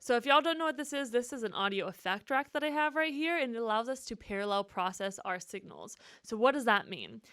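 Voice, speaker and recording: A woman's voice: fairly high pitch, very animated, a little fast, few pauses, a bit bright. A woman giving a casual, enthusiastic walkthrough of music production in informal, slangy language, as a musician teaching. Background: music from the track being demonstrated.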